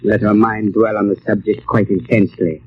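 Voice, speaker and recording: An actor playing an arrogant, villainous mind-controlling mastermind in an old radio drama, with a suave, menacing, imperious manner. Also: male voice, on the low side, little pitch movement, a little fast, breathy edge. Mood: smooth, smug. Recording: tinny, noisy old radio recording.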